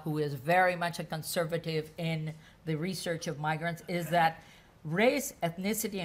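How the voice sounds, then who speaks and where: A woman giving an academic lecture, speaking in a measured way and laying out her points as lists. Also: on the low side.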